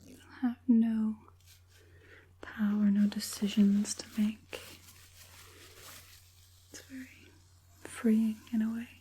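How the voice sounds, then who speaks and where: A woman playing a sinister, coaxing witch puppet master in a whispered ASMR roleplay, speaking softly and deliberately in a breathy whisper. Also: fairly high pitch, flat delivery, slight background noise. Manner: slow, soothing, deliberate, menacingly sweet.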